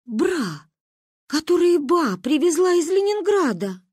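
A woman's voice: very high voice, big pitch swings, slow pace, darkish tone, no echo, clean studio sound, voice pushed up, heavily acted. A woman voicing a flustered, exasperated mother in a story, anxious and scolding with heavy emphasis.

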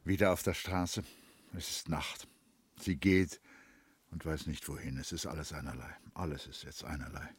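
A man narrating a literary radio drama in a measured, sombre manner. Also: extremely low pitch, husky, dry recording.

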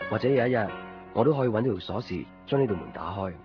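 A man's voice: on the low side, expressive, quick pace, close to an everyday voice. Guy acting a lovelorn young man telling his story, radio play, music behind, dull old recording. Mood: wistful, reflective. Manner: musing, conversational. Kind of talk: Cantonese radio drama narration and dialogue.

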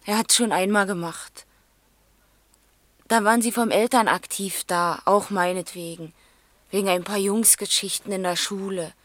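Eine Schauspielerin spielt im Hörspiel eine verletzte, trotzige Tochter im Teenageralter, offen und stockend, oft leise werdend. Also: female voice, medium pitch, fairly even intonation, normal speed, a bit bright, dry recording, close to an everyday voice. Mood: bitter. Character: wounded, defiant teenage daughter, frank and vulnerable. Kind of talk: naturalistic German radio drama dialogue.

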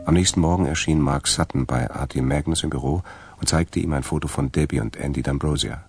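Radio crime narrator, super deep crackly voice, bit of music under it. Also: male voice, brisk, a little mellow. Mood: measured storytelling. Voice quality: gravelly. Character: male narrator of a crime story.

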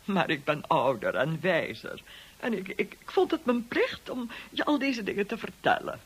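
A woman's voice: mid-range voice, wildly swooping pitch, moderate pace, husky. An actress playing a condescending older society lady in a Dutch radio drama, theatrical and patronising, with false sweetness. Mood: patronising, cutting.

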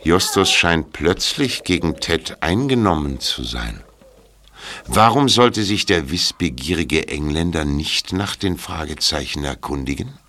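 A wry storyteller for a mystery radio play, voiced by a man. His voice is extremely deep yet crisp and forceful, measured and slow, with faint noise behind it. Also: lively intonation, full character voice. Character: wry, measured male storyteller commenting on the case. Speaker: narrator. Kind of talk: scripted audio drama narration.

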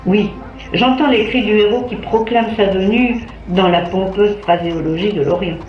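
A woman's voice: medium pitch, moderate pace, soft background music. A woman reading a literary story aloud as an audiobook narrator. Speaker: audiobook reader. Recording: dull, roomy recording.

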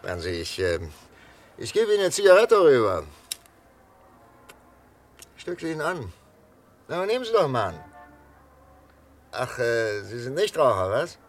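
A man playing a calm, coaxing psychiatrist in a 1960s German radio thriller, talking in a hesitant, conversational way. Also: mid-range voice, a little slow, pauses often, soft background music. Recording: 1963 radio recording, faint noise.

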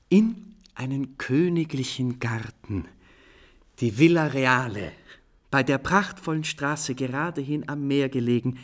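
An actor playing a cheerful, self-mocking composer from the eighteenth century, telling his tale in a florid, courteous way in a German radio play. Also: medium pitch.

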